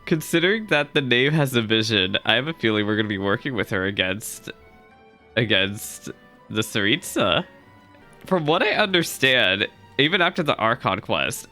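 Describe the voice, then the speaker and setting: A man's voice: mid-range voice, sharp tone. A young man hosting a gaming reaction video with informal, rambling commentary.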